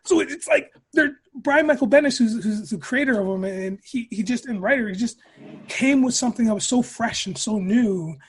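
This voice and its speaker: High-voiced, husky man chatting enthusiastically. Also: moderate pace. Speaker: podcast guest. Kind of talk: casual chat.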